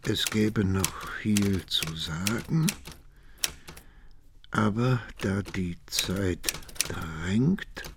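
Aging mafia boss, very slow and deliberate. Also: male voice, on the low side, creaky, background noise, heavily acted. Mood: tender, solemn. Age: older. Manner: measured, deliberate, sententious. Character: aging Sicilian mafia boss in hiding, patient, pious. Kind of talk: German radio crime drama acting.

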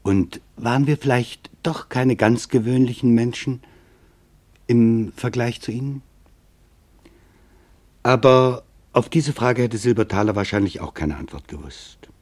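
A man narrating a literary documentary audiobook in a measured, reflective way. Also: medium pitch, a little mellow.

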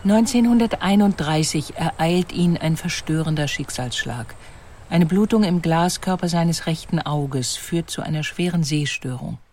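A woman reading a documentary narration in a clear, composed way. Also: sits low, animated, unhurried, darkish tone, a little hiss or hum. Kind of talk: scripted narration.

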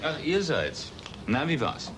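An actor playing a cautious, kindly journalist in a radio play, speaking earnestly and hesitantly, as if to children. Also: male voice, on the high side, wildly swooping pitch, takes it slowly, exaggerated voice. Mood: casual. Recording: noisy radio play tape.

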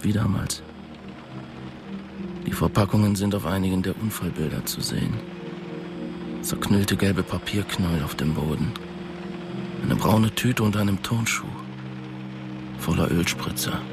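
Low-voiced, troubled night patrol cop. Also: male voice, a little slow, gravelly, pauses often. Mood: brooding. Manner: terse, clipped fragments. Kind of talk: radio play acting, inner monologue. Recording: studio radio play under loud music.

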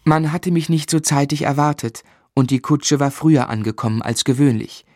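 An actor playing a grown man reflectively recalling his boyhood, in measured, literary narration for a German radio drama. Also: fairly high pitch, darkish tone, no echo, clean studio sound, close to an everyday voice. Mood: wistful.

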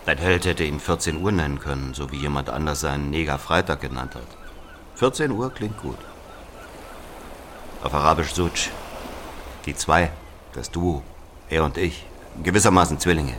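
An actor playing an embittered older Algerian man recounting his murdered brother in a deliberate radio drama monologue. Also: very deep voice, fairly bright tone, a little hiss or hum. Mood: bitter.

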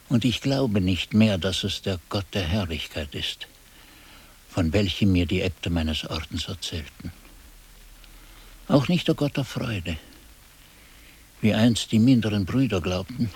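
A man's voice: on the low side, a little mellow, breathy edge, heavily acted. An actor playing a weary, disillusioned old monk who solemnly recounts his past in a radio drama. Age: older. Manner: solemn, deliberate.

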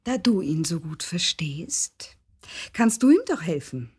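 A woman's voice: lowish voice, big pitch swings, a little slow, a little mellow, slightly rough, full character voice. An actress playing a sceptical, mocking wife who challenges her husband in a radio drama. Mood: needling. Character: sceptical, needling wife of a police chief.

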